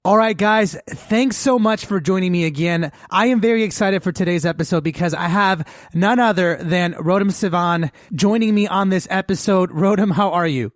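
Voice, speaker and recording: A man's voice: high voice, normal speed, few pauses, no echo, clean studio sound. A man hosting a podcast, interviewing casually in an effusive, informal way. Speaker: podcast host.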